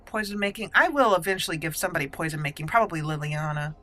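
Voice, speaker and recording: A woman's voice: low voice, fast, bright, forceful tone, faint music behind. A woman hosting a let's play, giving casual commentary as she goes through a video game. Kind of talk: casual gameplay commentary with roleplay.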